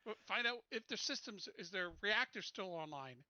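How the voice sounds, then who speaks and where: A man plays a tabletop game, talking casually in a rather high, intensely bright voice. He sounds close and dry, with a slightly muffled recording. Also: animated, normal speed, no echo.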